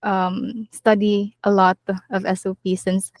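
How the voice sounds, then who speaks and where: A woman in her twenties, a call center agent being interviewed, answering earnestly with many pauses and ums. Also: medium pitch, level tone, dry recording. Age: 20s. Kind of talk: casual interview chat.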